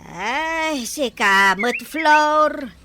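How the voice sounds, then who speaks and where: A woman's voice: sits high, lively intonation, extremely slow, sharp tone, heavily acted. A female actor playing a plaintive, put-upon village daughter in a radio drama, whining and exclaiming with an Ilocano accent. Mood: indignant, nosy. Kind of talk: Filipino radio drama acting.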